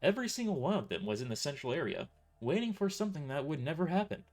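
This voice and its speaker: A man's voice: fairly high pitch, very animated, fast, darkish tone. Male narrator for an audio novel, amateur read with stumbles and restarts, faint music underneath. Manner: stumbles and restarts sentences while reading. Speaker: author narrating his novel. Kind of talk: first-person audio story narration.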